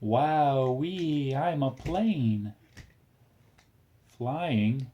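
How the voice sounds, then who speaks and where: A man reading a picture book aloud with a small child, encouraging and pausing so the child can finish the phrases. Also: mid-range voice, lively intonation, warm tone, muffled recording.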